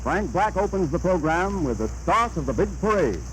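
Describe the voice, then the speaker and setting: A man's voice: high-pitched, big pitch swings, moderate pace, over loud music. A man announcing a scripted sponsor message in the style of 1930s radio. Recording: noisy vintage 1930s radio recording.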